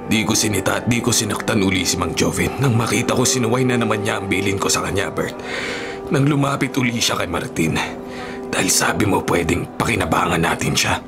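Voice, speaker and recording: An actor playing a hot-tempered male prison bully with a gruff, threatening manner in a radio drama. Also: on the low side, fairly quick, lightly acted. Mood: grudging. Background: music.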